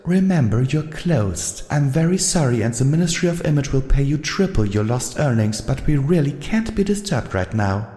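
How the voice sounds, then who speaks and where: A man reading a story aloud and voicing an elegant, caring adult female unicorn pony who speaks in a refined, gentle way. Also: medium pitch, sing-song, normal speed, hardly any pauses, pitched above the performer's own voice, lightly acted. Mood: gentle, tender. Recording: roomy echo with long reverb.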